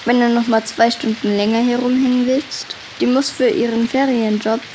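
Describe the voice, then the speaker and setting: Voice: mid-range voice, little pitch movement, normal speed, darkish tone, a little hiss or hum, lightly acted, rough recording. A performer playing a laid-back, blunt schoolchild in a children's audio drama, talking casually in slang.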